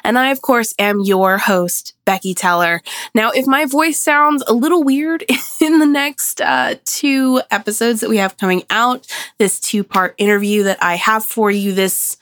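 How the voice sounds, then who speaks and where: A woman's voice: fairly high pitch, unhurried, a bit bright, dry recording. Chatty woman host, nasal from a cold. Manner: chatty, laughs often. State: slightly nasal from a summer cold. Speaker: podcast host. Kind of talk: casual podcast chat.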